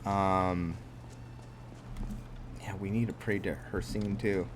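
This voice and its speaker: A man's voice: low voice, steady tone, takes it slowly, background noise. A man giving casual gameplay commentary as host of a roleplay series, thinking aloud in a rambling, musing way that often trails off. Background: game music.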